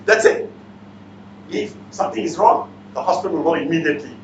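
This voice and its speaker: Male seminar speaker, Malaysian or Singaporean accent, blunt and quizzing, higher-pitched voice, distant mic in an echoey room. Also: brisk, lo-fi sound, background noise. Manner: blunt, interactive, repeatedly challenges listeners with questions. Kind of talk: motivational seminar talk, quizzing the audience.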